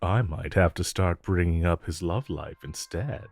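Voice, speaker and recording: Man acting a low-voiced, flirty, smug supervillain. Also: darkish tone, faint music behind. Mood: teasing, wry. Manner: teasing, coaxing, drawn-out phrasing. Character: flirtatious, smug infamous supervillain, a teasing regular customer. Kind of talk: romantic audio roleplay, addressed to listener.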